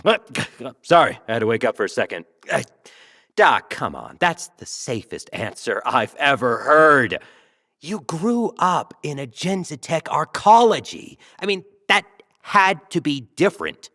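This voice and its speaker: A man playing a brash, self-adoring talk show host in an audio drama, showy, flattering and teasing. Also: a little high, measured pace, exaggerated voice. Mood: teasing, prying.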